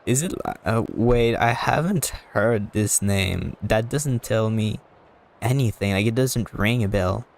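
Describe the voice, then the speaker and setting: A man's voice: fairly low pitch, a little slow, gravelly, a little mellow. A man streaming a video game, chatting casually in a rambling, self-deprecating way. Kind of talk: casual livestream chat and game commentary.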